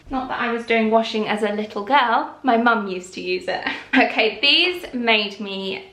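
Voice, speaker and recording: Bright-voiced chatty woman in an echoey room. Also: fairly high pitch. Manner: chatty and rambling, calm and relaxed. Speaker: vlogger. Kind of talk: casual haul chat.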